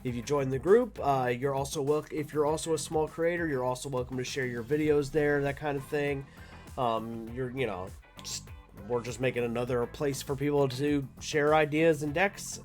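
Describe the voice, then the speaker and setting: A man's voice: a little high, a little mellow. A man hosting a card game channel, talking casually and upbeat to viewers while showing a deck. He sounds nasal from allergies and chats with lots of filler and restarts.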